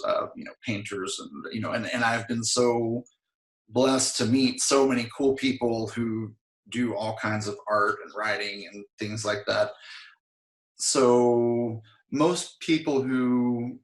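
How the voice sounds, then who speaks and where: Slightly hoarse man, roomy echo. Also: on the low side, fairly bright tone. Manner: rambling, frequent "I mean" fillers. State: a little hoarse. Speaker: interview guest, singer. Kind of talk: casual interview talk.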